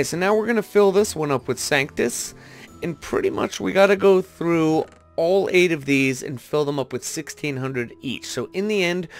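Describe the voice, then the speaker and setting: A man doing casual gaming commentary, thinking aloud in a rambling way as he talks to his viewers. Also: a little high. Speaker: gaming video host. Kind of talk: casual Minecraft let's-play commentary.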